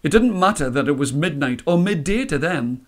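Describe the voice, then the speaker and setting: Higher-voiced man telling a story. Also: animated, normal speed. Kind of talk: expressive scripted storytelling.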